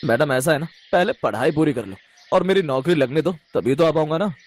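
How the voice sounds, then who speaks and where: A man's voice: a little high, lively intonation, brisk, a little hiss or hum, lightly acted. A man voicing an easygoing, cheerful young husband in a cartoon story, with a breezy, playful manner. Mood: reassuring.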